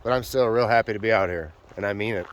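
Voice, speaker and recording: A man's voice: lowish voice, fast talker, lo-fi sound. A young man vlogging on a backpacking trip, talking casually with many fillers, his voice nasal from a cold and a sore throat. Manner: relaxed, rambling, many uh fillers. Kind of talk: casual vlog talk to camera. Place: outdoors on a hiking trail.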